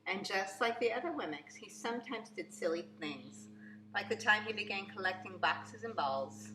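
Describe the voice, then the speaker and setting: A woman reads a children's story aloud like a preschool teacher, with expressive, emphatic, theatrical phrasing. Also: medium pitch, moderate pace. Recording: echoey room, faint background noise.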